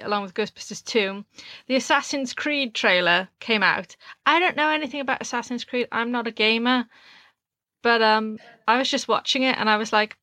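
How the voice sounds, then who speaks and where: A young woman hosting a film podcast, chatting casually with lots of fillers and quick laughs. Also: a little high, bright, forceful tone, dry recording. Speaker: podcast host. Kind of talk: casual chat.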